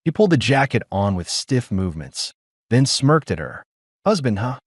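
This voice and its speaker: Lower-voiced man narrating with lively inflection. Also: normal speed, no room sound, studio quality. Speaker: audiobook narrator. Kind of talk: scripted story narration.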